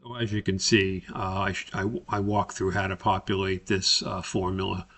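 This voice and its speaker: A man narrating a spreadsheet tutorial as an instructor, explaining step by step in a halting way. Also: deep voice, flat delivery, a bit bright.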